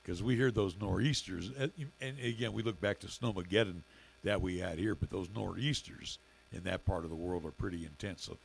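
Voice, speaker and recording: A middle-aged man co-hosting a local radio show in casual chat, with a raspy, gravelly voice and halting speech full of fillers. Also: low voice, slight background noise. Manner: halting, many fillers and restarts. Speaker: radio station owner and co-host.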